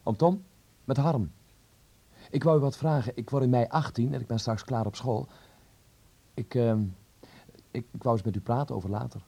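Shy polite 17 yr old in a radio play, mellow husky voice, kinda halting. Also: male voice, medium pitch. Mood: polite, nervous. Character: polite, hesitant seventeen-year-old schoolboy. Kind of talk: Dutch radio drama acting.

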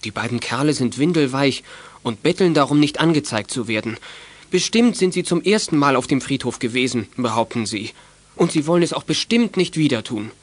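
A man serves as narrator of a children's audio drama. He reads scripted story passages in a voice of middle pitch. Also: expressive, fairly quick, no echo.

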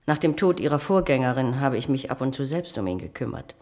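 An actress playing a strict, cold matron in a German radio play, giving orders in a brisk, condescending, authoritative manner. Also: female voice, very deep voice, sing-song, darkish tone, full character voice. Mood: dismissive, callous. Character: strict, cold institutional matron with a callous streak. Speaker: head matron instructing a new attendant.

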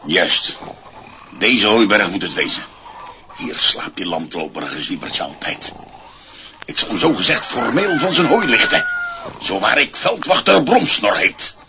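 Gravelly, blustering village constable. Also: male voice, on the low side, very animated, a little slow, exaggerated voice. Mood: officious, gloating. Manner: pompous, stilted official phrasing, barking orders. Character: officious, blustering male village constable, servile to superiors. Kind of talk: 1960s promotional radio play acting. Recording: muffled, noisy 1960s vinyl single recording.

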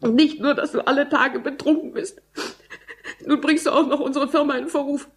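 A woman's voice: high voice, fairly even intonation, normal speed, slight background noise. A woman playing the anxious, hard-working wife of a drinker in a German radio drama, earnest and insistent. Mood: distressed, pleading. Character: worried, industrious wife of a drinking businessman.

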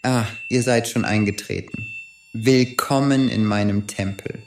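A man's voice: on the low side, fairly even intonation, measured pace, slight background noise. A man playing a self-assured female Venus goddess and temple hostess in an audio drama, speaking formally and with composure. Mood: poised.

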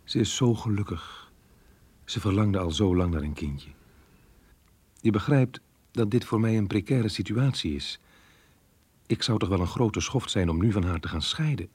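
An actor playing an apologetic married lover voicing his farewell letter in a radio play, husky, grave and measured. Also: fairly low pitch, animated, darkish tone, no echo. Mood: grave, pleading.

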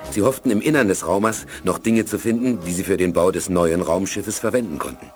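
A man acting as the serious, measured narrator of a scripted 1980s science fiction radio play. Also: low-pitched, over music. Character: measured, serious storyteller of a space saga.

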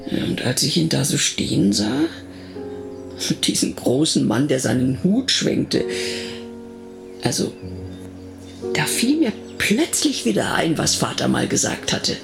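A man with a gravelly voice narrating a radio drama in the first person, reminiscing in a conversational way. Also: fairly high pitch, sing-song, measured pace, pauses often, music in the background, heavily acted.

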